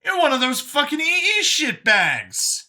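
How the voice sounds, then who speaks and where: A man's voice: extremely high pitch, huge pitch swings, measured pace, sharp tone, voice pushed up, exaggerated voice. A man voicing a hostile, indignant man in a story, ranting accusingly and emphatically. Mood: contemptuous anger. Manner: agitated, emphatic, foul-mouthed ranting.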